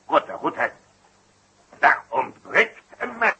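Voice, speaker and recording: A man's voice: a little high, big pitch swings, slow pace, noisy background, full character voice. An actor playing a vain, hot-tempered male circus knife thrower who talks in a pompous, bossy way in a 1960s radio play. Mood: angry, commanding.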